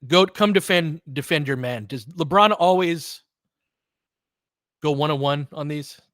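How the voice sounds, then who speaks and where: A man doing live basketball play-by-play as an excitable, partisan fan, chatting casually with his viewers. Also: fairly high pitch, unhurried, no room sound, clean studio sound. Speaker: fan play-by-play commentator, streamer.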